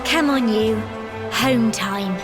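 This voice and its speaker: An actress playing a sweet, earnest teenage village girl in a video game, with an expressive manner. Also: a little high, takes it slowly, over loud music. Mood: breezy. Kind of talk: video game cutscene acting.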